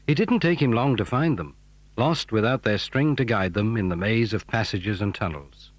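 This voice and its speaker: A man's voice: lowish voice, moderate pace, no room sound. A man narrating a scripted children's adventure story.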